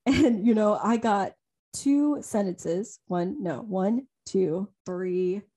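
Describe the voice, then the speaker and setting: This is a young woman co-hosting a casual podcast, speaking at a middle pitch. She tells her story unscripted, rambling from one point to the next with frequent ums and easy laughter. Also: takes it slowly, dark tone. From Louisiana. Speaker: podcast co-host.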